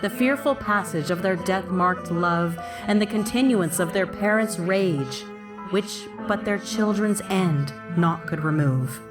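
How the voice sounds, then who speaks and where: A solemn chorus narrator, acted by a woman reciting verse for a tragedy. The voice is slow and measured, set back from the mic with clear music behind. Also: on the low side, recorded from a distance. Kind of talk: Shakespearean verse prologue.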